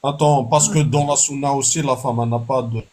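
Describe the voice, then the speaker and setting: A man's voice: mid-range voice, fairly even intonation, brisk, a little mellow. A man debating in an online live stream, blunt and indignant, brushing off the other side's points. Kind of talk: heated casual debate.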